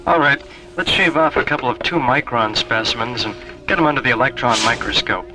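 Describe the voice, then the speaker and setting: A man playing a practical laboratory technician in a science-fiction radio drama, brisk and matter-of-fact. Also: mid-range voice, natural voice, poor audio. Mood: businesslike. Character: practical male laboratory technician giving instructions. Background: music.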